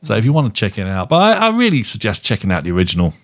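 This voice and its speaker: Low-pitched man, rambling film chat. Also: huge pitch swings, fairly bright tone. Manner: rambling, halting and hedging, circles back over phrases. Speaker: podcast co-host reviewing films. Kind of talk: casual film review chat.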